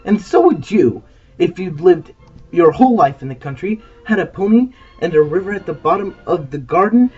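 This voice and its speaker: A woman's voice: animated, moderate pace, warm tone, husky, lo-fi sound, faint music behind. A woman reading aloud voices a grubby, miserable young boy who talks in a sulky, wound-up way. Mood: miserable, upset. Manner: sulky and wound up, voice drops low when spooked.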